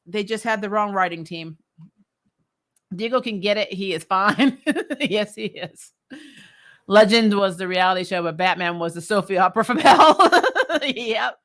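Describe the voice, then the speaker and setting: Female recap host, mid-pitched, blunt and exasperated, giggly, loose conversational delivery. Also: measured pace, long pauses, a bit bright. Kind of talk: casual chat, reading and answering viewer comments.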